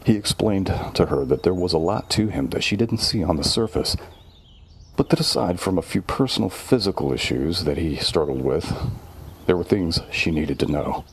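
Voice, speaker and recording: A man narrates a horror story as a scripted tale. His voice is low and husky, with a rough grain to it. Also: expressive, moderate pace.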